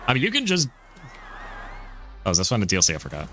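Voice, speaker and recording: Rambling male streamer, lively pitch. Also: quick pace. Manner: rambling, stumbles over words, laughs often. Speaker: live streamer. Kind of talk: casual gameplay commentary. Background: music.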